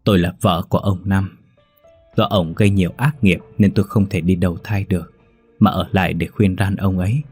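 A man's voice: low voice, fairly even intonation, brisk, darkish tone, slightly rough, soft background music. Sorrowful ghost wife, voiced by a man. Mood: solemn. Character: ghost of an old man's wife, sorrowful, calm.

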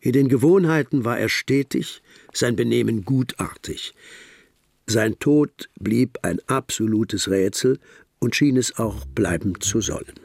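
A man narrating a German radio drama as a detective's companion recalling a case, in a measured, literary storytelling manner. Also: mid-range voice, expressive, vocal fry, darkish tone, slightly rough, no room sound, heavily acted. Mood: measured, reflective.

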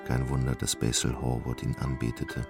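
Extrem tiefer, knarrender Erzähler. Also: male voice, extremely low pitch, little pitch movement, moderate pace, creaky, music in the background. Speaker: narrator. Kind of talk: scripted literary narration for a radio play.

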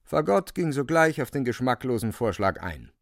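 A man's voice: mid-range voice, very animated, moderate pace, gravelly, no echo, clean studio sound. A man narrating a literary radio drama as a dramatic storyteller.

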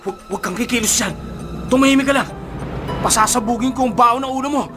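An actor playing a loyal young accomplice, blunt and streetwise, in a Filipino radio drama. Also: male voice, very high-pitched, quick pace. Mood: menacing. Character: loyal young accomplice, nervous then threatening robber. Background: dramatic music.

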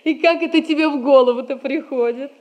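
A sweet, eager young merchant's daughter played by an actress in a radio stage comedy. Her high voice is soft and mellow, with an affectionate, girlish manner. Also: brisk, close to an everyday voice. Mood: curious.

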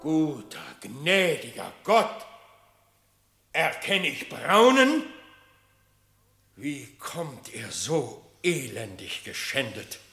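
A man's voice: mid-range voice, big pitch swings, extremely slow, gravelly, long pauses, bright, forceful tone, faint music behind, full character voice. An actor playing a solemn, indignant animal king in a verse fable radio play, declaiming regally in a roomy echo. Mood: appalled.

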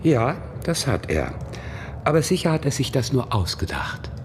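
A man's voice: medium pitch, very animated, moderate pace, background noise, lightly acted. An actor playing a coy, evasive male witness under police questioning in a German radio crime drama, by turns dreamy and defensive.

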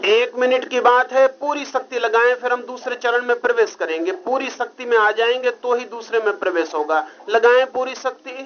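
A man's voice: very high voice, moderate pace, few pauses. A man leading a live active meditation, urging the group on with short, repeated, urgent commands. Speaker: meditation leader. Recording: dull noisy live recording, loud crowd behind. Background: noisy crowd of participants.

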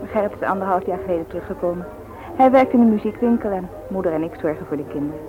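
A woman's voice: on the low side, racing, phone-quality sound, over music, lightly acted. A woman playing a friendly, unpretentious young student and aunt in a radio play, chatty. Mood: sombre. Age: young adult. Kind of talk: vintage Dutch radio play acting.

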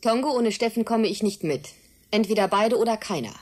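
A woman's voice: fairly high pitch, a little fast, a little mellow, close to an everyday voice. An actress in a German radio drama playing a hesitant but stubborn woman who speaks in a wondering, emotional way. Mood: insistent.

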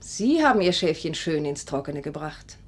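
A woman's voice: low voice, sing-song, moderate pace. Swooping, spiteful forty-year-old woman. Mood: spiteful, accusing. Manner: sneering, biting, haughty. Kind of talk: 1950s German radio play acting.

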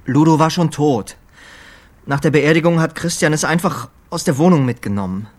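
An actor playing a solemn, haunted, stubborn religious zealot in a German radio drama, with a husky voice and halting, emphatic phrasing. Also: male voice, a little high, close to an everyday voice. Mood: subdued, guarded.

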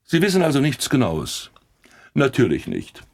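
An actor playing an impatient, sceptical police inspector in a German radio drama, pressing and sarcastic. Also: male voice, mid-range voice, huge pitch swings, moderate pace, gravelly, full character voice.

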